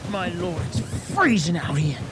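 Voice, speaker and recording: Cold ship's mate in a radio play, respectful, high voice that swings all over. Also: male voice, very animated, a little slow. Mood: cold, deferential. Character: cold, deferential male sailor on night watch. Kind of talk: radio drama acting. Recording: noisy open sea ambience.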